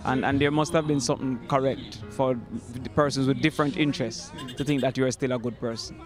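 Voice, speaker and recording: A man, giving a reflective, deliberate interview into a handheld microphone outdoors. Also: medium pitch, fairly even intonation, music in the background. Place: outdoors in churchyard. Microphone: handheld reporter microphone.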